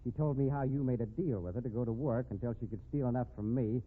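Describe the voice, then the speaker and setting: A man's voice: mid-range voice, quick pace, slightly rough, lightly acted. A man playing a stern, menacing boss in a 1950s radio mystery, firm, slangy and commanding. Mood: stern, threatening. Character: stern, menacing male boss confronting a crook. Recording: tinny narrowband 1950s radio recording.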